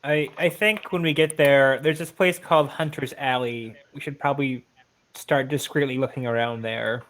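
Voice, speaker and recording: A man's voice: mid-range voice, fairly even intonation, moderate pace, a bit bright. A man talking casually as a player in an online tabletop fantasy game. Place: online voice call.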